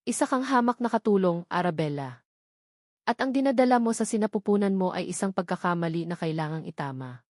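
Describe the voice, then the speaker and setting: A woman's voice: fairly low pitch, expressive, a little fast, darkish tone, dry recording, studio quality, lightly acted. A woman voicing a cold, cruel, wealthy matriarch who speaks in contemptuous, commanding threats in an audio drama.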